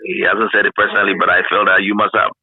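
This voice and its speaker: A man's voice: lowish voice, little pitch movement, racing. A man with a Ghanaian accent, middle-aged, reminiscing in a casual interview and often repeating words for emphasis. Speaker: interviewee, former radio broadcaster. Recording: narrow phone-like line.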